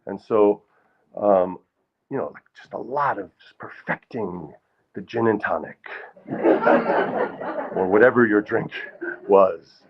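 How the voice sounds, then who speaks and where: A man's voice: fairly low pitch, slow pace, long pauses, muffled recording, very noisy. A middle-aged man telling funny, rambling stories about acting to a live audience, with lots of restarts. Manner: rambling, self-deprecating, many restarts. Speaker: storyteller performer. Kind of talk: live comedic storytelling. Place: small hall with live audience.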